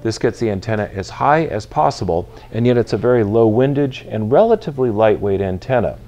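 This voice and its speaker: Male product presenter, 50s, slightly low pitch, methodical instructional delivery. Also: lively intonation, brisk, mellow, highs cut off. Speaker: product presenter in a buyer's guide. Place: large retail store, indoors. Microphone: lapel mic.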